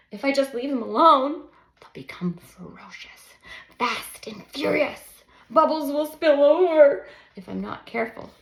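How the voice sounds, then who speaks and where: A woman reading her children's manuscript aloud in an expressive, dramatic way, often dropping to a breathy near-whisper and leaning on key words. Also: on the high side. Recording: roomy, echoing sound.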